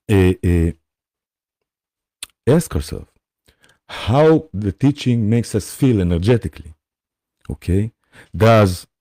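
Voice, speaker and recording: A man from Israel with an Israeli accent, hosting a live spiritual session. He speaks in a halting, gentle way and often pauses to check in with his listeners. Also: fairly low pitch, no room sound, clean studio sound. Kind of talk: live-streamed spiritual talk.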